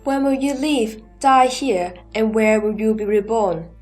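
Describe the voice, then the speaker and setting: High-voiced, earnest questioning disciple played by a woman. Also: a little mellow, close to an everyday voice. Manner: formal, questioning, measured. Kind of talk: scripted sutra audio drama. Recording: background music under the voice.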